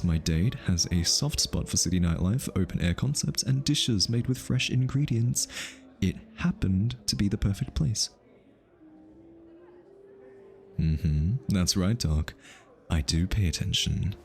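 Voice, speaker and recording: A man's voice: low voice, a little mellow. A male voice actor playing an easily flustered, teasing hitman who is smitten, in an ASMR roleplay. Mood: coy. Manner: teasing, stammers and backtracks when flustered.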